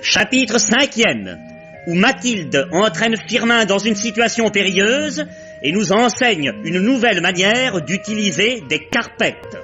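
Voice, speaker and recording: An actor playing the theatrical chapter announcer of a 1950s French radio mystery serial, declamatory and deliberate. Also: male voice, high-pitched, sing-song. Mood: mock-grand. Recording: dull old radio recording over music.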